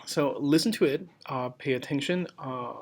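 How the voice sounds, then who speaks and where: A teacher giving instructions and reading a storybook aloud in a deliberate, expressive, explanatory way. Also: mid-range voice.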